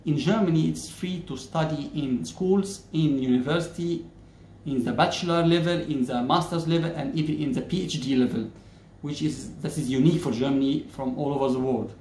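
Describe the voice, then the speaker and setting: A man in his thirties from Egypt, speaking English, explains a topic to camera in a measured way, pausing often on "uh". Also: fairly high pitch, a little mellow, roomy sound, a little hiss or hum. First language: Arabic. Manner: measured, many "uh" pauses, gestures with hands. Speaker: YouTuber. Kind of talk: casual explainer talking to camera. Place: plain-walled indoor room.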